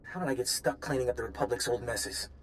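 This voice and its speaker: An actor playing an anxious, harried Republic official in a video game, earnest and full of worried complaint. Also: male voice, mid-range voice, fast, slight background noise, natural voice. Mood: frustrated, anxious. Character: frazzled, self-pitying male Republic official, anxious and pleading. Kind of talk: video game character acting.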